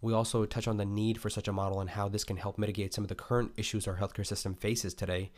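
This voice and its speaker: A man's voice: on the low side, level tone, fast talker. A male podcast host who reads a guest introduction and then asks loose, rambling questions full of fillers. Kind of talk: read intro, then casual interview chat.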